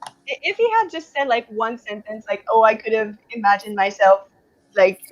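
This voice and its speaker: High-voiced woman in casual book chat. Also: animated, normal speed, dull audio. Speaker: book club co-host.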